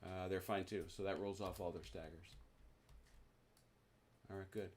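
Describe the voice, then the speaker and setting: Low-voiced man explaining game rules. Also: level tone, measured pace, background noise. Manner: chatty, explains rules with many restarts and "uh"s. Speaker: host teaching a tabletop wargame. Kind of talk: casual rules explanation over a video call.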